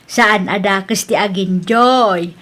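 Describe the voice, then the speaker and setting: A woman's voice: medium pitch, takes it slowly, fairly bright tone. A woman acting a nagging, judgmental middle-aged aunt in an Ilocano audio drama.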